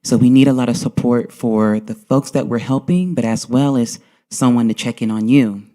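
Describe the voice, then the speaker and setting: A man's voice: mid-range voice, normal speed, dark tone. A man speaking earnestly as a panelist at a conference, often checking in with "right?". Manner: earnest, frequent "right?" tags. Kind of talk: panel discussion. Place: conference session.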